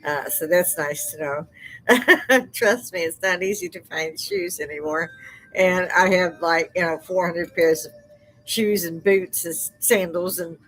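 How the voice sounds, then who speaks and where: Older lady, loud voice, chatty, laughs a lot, low-ish tone. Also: expressive, measured pace, poor audio. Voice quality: loud speaking voice. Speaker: live stream host. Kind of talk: casual live-stream chat. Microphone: desk microphone.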